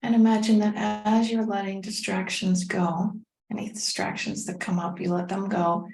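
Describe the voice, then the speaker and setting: A woman leading a guided meditation as a teacher, speaking in a gentle, unhurried, soothing way with pauses. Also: medium pitch, level tone. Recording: roomy, echoing sound.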